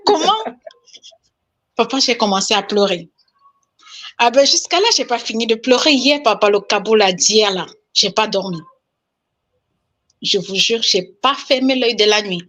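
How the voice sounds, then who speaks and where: A woman's voice: a little high, animated, measured pace, pauses often, a bit bright. A woman from Cameroon, calling in to a spiritual talk show to give an emotional testimony, often repeating herself. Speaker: caller giving testimony.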